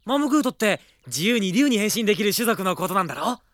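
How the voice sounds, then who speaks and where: An actor playing a cocky young rogue in a Japanese radio drama, talking in a rough, informal manner. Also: medium pitch, big pitch swings, fast talker, fairly bright tone, no room sound, clean studio sound. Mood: curious.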